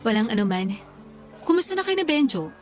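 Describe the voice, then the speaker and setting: Radio drama role: sassy working-woman best friend, high-pitched, frank and scolding, light music bed. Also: sing-song, brisk, a little mellow. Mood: friendly. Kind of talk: Tagalog radio drama dialogue.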